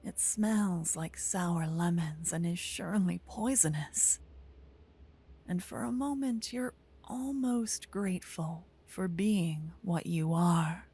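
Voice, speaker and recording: A woman softly narrating a scripted fantasy ASMR story, breathy and often close to a whisper on a binaural mic. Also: lowish voice, takes it slowly. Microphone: close binaural microphone.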